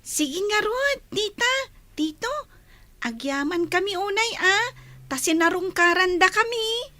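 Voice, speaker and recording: A very high-voiced, polite little niece in a radio play. She speaks slowly and brightly, recorded close and dry. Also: expressive, dry recording, heavily acted. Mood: polite, cheerful. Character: polite young girl, niece greeting her aunt and uncle. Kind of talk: radio drama acting.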